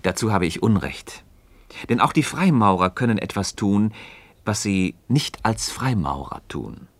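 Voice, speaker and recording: An actor playing an enigmatic, measured man in a radio play, speaking deliberate, emphatic in philosophical dialogue. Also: on the low side, big pitch swings, breathy edge, dry recording, full character voice. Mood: grave, secretive. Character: enigmatic, measured man hinting at secrets to a friend.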